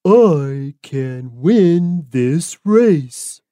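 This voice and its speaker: A woman voicing a slow, humble, determined tortoise for a children's storybook, with a plodding, deliberate delivery. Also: deep voice, expressive, dark tone, dry recording, studio quality, pitched below the performer's own voice, heavily acted. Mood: calm, determined.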